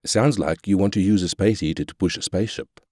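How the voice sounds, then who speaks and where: A man's voice: deep voice, fairly quick, darkish tone, dry recording, studio quality. A man playing a ruthless, arrogant corporate tycoon, brash and cynical, in scripted video game lines. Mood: irritated, callous. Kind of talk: scripted game character quotes.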